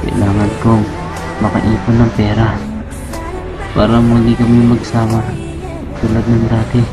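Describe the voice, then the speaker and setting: A man voicing a cheeky, excitable young suitor with a Filipino accent in a comic amateur dub, teasing and exclaiming. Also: lowish voice, measured pace, close to an everyday voice. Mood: wistful. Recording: music behind the voice.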